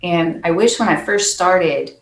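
A soft-spoken woman, a percussionist guest, chatting earnestly and laughing often in an interview. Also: fairly low pitch, measured pace, echoey room, low-quality recording. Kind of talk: casual interview chat.

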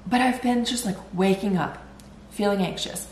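A woman's voice: mid-range voice, moderate pace, darkish tone, roomy sound, a little hiss or hum. A woman in her twenties hosting a solo podcast, chatty and rambling, sounding nasal and congested as she recovers from illness. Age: 20s. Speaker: podcast host. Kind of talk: casual solo podcast chat.

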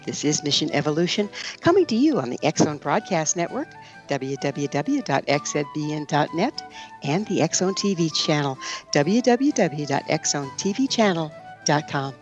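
A woman's voice: sits low, big pitch swings, normal speed, muffled recording, soft background music. A woman hosting a broadcast interview show and making show announcements. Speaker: radio show host.

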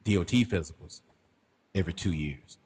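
A man's voice: low voice, a little fast, darkish tone, breathy edge. A man of about forty, chatting casually as a podcast co-host, laid-back and laughing often. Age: 40s. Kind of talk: casual chat.

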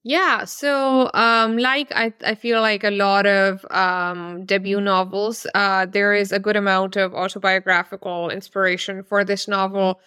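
A woman's voice: medium pitch, level tone, a little slow, hardly any pauses, pushed and bright, clear, resonant tone, no room sound, studio quality. A woman in her thirties from Pakistan, a novelist answering interview questions thoughtfully, with many fillers and restarts. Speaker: novelist guest.

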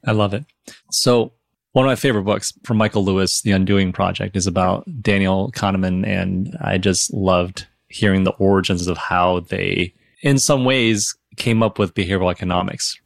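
A man's voice: sits low, fairly even intonation, moderate pace, vocal fry, dry recording, studio quality. A man hosting a podcast, asking questions with enthusiasm. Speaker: podcast host. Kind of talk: podcast interview.

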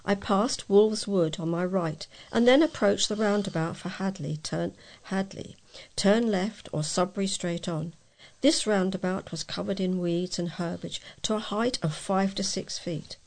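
A woman reading letters aloud as a volunteer news reader, in a measured way with the odd stumble. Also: mid-range voice, creaky, a little mellow.

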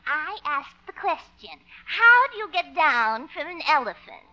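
A woman's voice: high-pitched, very animated, a little slow, bright, forceful tone, dull audio, heavily acted. A woman acting in 1950s radio comedy, playing a silly, gushing, giggly spinster debutante with a quavering delivery. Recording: old radio recording, faint noise.

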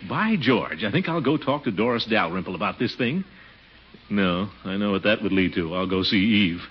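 A man's voice: fairly low pitch, animated, normal speed, lightly acted. A muffled 1940s radio recording with faint noise carries a man acting as a pompous middle-aged American uncle. His voice swings widely up and down as he blusters, fusses and gets flustered, fond underneath it all. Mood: confiding. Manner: pompous blustering, stammers and chuckles when flustered.

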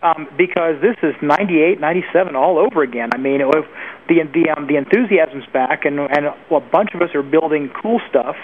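A man's voice: a little high, brisk. A man giving informal, opinionated answers as an interview guest, speaking conversationally and with emphasis. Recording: narrow phone-like line with faint noise.

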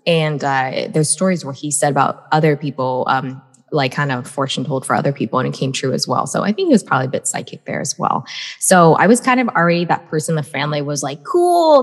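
Deep-ish voice woman in her 30s, rambly chatty storytelling with lots of ums, long reverb like a big hall. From United States. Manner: chatty, many fillers like "um" and "like". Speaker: guest. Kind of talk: casual storytelling.

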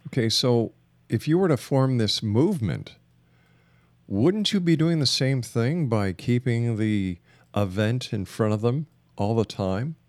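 A man's voice: medium pitch, lively intonation, unhurried, darkish tone, no room sound. A man hosting a radio interview, putting questions to a guest and addressing listeners. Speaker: radio host.

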